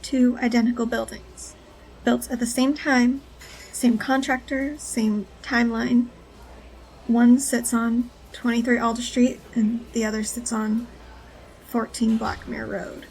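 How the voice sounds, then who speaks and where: Hunted woman informant, audio drama. Higher pitch, little melodic movement, halting and earnest, background noise. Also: flat delivery, creaky, a little mellow, poor audio. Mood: tense, explaining. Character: frightened, hunted female whistleblower, earnest and urgent.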